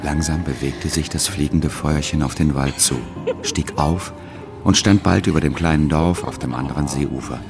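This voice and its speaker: A man narrating a German radio play as a solemn, measured literary storyteller, quietly enchanted by what he observes. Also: very deep voice, fairly even intonation, music in the background.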